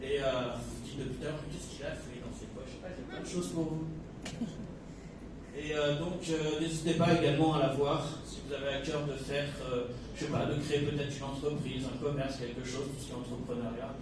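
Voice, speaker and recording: A man's voice: mid-range voice, fairly even intonation, fairly quick. A man from Kabylie in Algeria giving an informal sermon to a congregation, chatty, with joking asides and many hesitations. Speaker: guest preacher. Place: church hall. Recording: echoey hall from far off, noisy.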